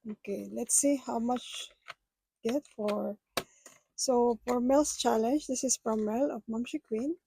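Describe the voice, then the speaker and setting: Soft-spoken Filipina budgeting vlogger. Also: female voice, on the high side, steady tone, gravelly, husky, poor audio. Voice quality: halting, hesitant phrasing. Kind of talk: casual chat with viewers.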